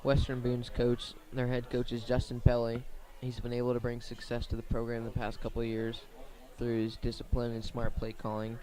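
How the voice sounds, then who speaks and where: A man doing live radio play-by-play of a high school football game in short, plain, measured phrases. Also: monotone, warm tone, low-quality recording. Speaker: play-by-play sports commentator.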